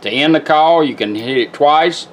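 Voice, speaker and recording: Folksy man with a higher-pitched voice. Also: moderate pace, muffled recording, slight background noise. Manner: plainspoken, folksy, step-by-step instructing. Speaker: product demonstrator and car radio rebuilder. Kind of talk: casual hands-on product tutorial.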